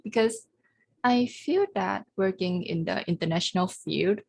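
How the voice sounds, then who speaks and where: Creaky-voiced young woman student. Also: lowish voice, a little mellow. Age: young adult. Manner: earnest, practiced, listing points. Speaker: university student. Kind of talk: mock job interview answers.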